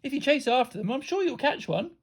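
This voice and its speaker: A woman's voice: sits high, sing-song, fast, voice pushed up. A woman voicing a cheeky storybook schoolgirl, mock-polite and feigning innocence. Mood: sly.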